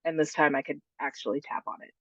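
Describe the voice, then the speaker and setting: A woman's voice: sits low, fairly even intonation, quick pace, dry recording, highs cut off. A congested woman, a client, describing how she feels in a hesitant, reflective way.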